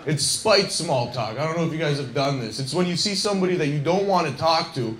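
A man's voice: a little high, brisk. A young man from Scarborough doing a self-deprecating, rambling stand-up set on a club stage with a handheld mic. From Scarborough, Canada. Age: young adult. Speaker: stand-up comedian. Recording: echoey club with loud laughing crowd. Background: audience laughter.

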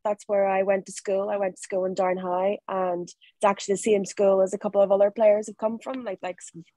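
Northern irish woman, twenties, relaxed and chatty, even tone, dry room. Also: medium pitch, brisk, dry recording. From Northern Ireland. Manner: relaxed, chatty, laughs easily. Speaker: rugby player guest. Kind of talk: casual interview answers. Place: home room, video call.

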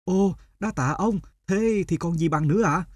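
High-pitched earnest young student, plaintively protesting. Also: male voice, moderate pace, dry recording, studio quality. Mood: polite. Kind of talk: Vietnamese animated folk tale dubbing.

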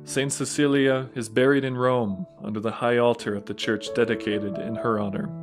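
Male story narrator over soft music. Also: mid-range voice.

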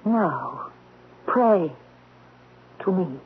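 A woman's voice: on the low side, lively intonation, very slow. An actress playing a commanding, mocking queen of thieves, a magical statue, in a radio mystery drama. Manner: commanding, taunting. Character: imperious, mocking statue of an Indian thief queen. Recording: muffled, noisy old radio broadcast.

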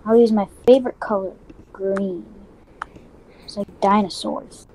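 Woman acting as chatty little schoolboy, simple matter-of-fact phrasing, medium pitch, improvised game roleplay, faint room noise. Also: a little slow, warm tone, natural voice. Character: young schoolboy, chatty dinosaur-loving twin. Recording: noisy home mic with room ambience.

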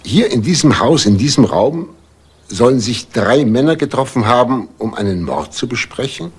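An indignant, formal homeowner in a crime drama. The voice is husky and mid-pitched, with lively swings in intonation and a clipped, haughty manner. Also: male voice, moderate pace. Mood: wary, questioning. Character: indignant, well-off married male homeowner under questioning. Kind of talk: German TV crime drama acting.

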